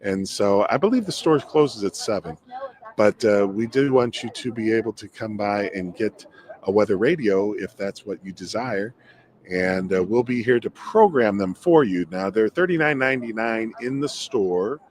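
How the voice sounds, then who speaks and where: A man presenting a casual, unscripted live stream from a community event, talking in a halting, rambling way with trailing asides. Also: on the low side, a little mellow, a little hiss or hum. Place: inside a hardware store at a public event.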